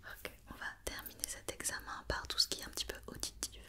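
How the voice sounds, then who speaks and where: A woman whispering an ASMR roleplay as a doctor giving an examination, with soft, reassuring and encouraging step-by-step instructions. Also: fast. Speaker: ASMR performer playing an occupational doctor examining a robot.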